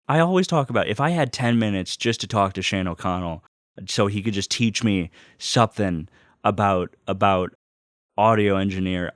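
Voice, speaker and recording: A man's voice: mid-range voice, no room sound, clean studio sound. A man chatting casually and very enthusiastically as a vlog host, gushing like a devoted fan, repeating himself and swearing freely. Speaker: host of a personal video series. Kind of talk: casual unboxing chat.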